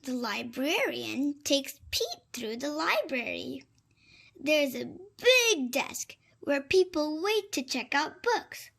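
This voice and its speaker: A woman reads a children's picture book very slowly in a high, bright voice with a creaky edge. She is expressive and full of exaggerated wonder for young listeners. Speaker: children's storybook reader. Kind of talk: slow, expressive picture-book read-aloud.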